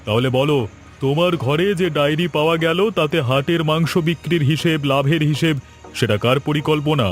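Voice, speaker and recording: A man's voice: on the high side, normal speed, soft background music, lightly acted. A man voicing a stern, righteous police officer in a cartoon, speaking with accusing authority. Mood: pressing. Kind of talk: Bengali cartoon dialogue.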